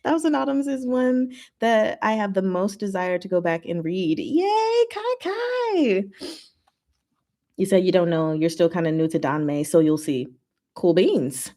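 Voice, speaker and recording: A woman's voice: mid-range voice, unhurried. A woman hosting a casual chat and Q&A, reading and answering comments in a giggly way with emphatic short verdicts. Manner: giggly, animated asides with emphatic one-word verdicts. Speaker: host of a chat and Q&A stream.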